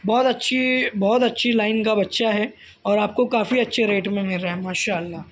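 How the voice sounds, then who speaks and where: A man's voice: high-pitched, fairly even intonation, normal speed. An Indian man presenting livestock for sale in a casual, enthusiastic, repetitive way, like a video host. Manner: enthusiastic, repetitive, echoes what the seller says. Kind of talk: casual sales presentation and interviewing. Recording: handheld phone video with background noise.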